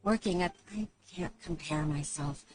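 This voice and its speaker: An actress playing an earnest, admiring young secretary in a video game, heartfelt and a little hesitant. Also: female voice, sits low, normal speed, close to an everyday voice. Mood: shy admiration. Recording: game audio under clear noise.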